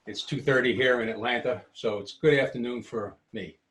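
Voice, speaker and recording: A man's voice: mid-range voice, moderate pace, fairly bright tone. A man in his sixties with a New York accent, giving a casual, unscripted workshop tour in a hesitant, wryly self-deprecating way. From Brooklyn, New York City. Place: home basement-like workshop, indoors. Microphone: webcam, later handheld phone.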